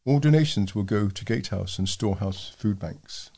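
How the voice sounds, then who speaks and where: A man's voice: fairly low pitch, normal speed, mellow, slightly rough. A man reading scripted local news stories as a volunteer newsreader.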